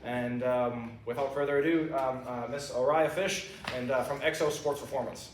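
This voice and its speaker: Halting male host in an echoey room. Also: mid-range voice, steady tone. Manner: halting, many fillers and restarts. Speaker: fitness and wellness director introducing a guest. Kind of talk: live introduction at a lecture. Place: event room at a country club. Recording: echoey room, distant, faint noise.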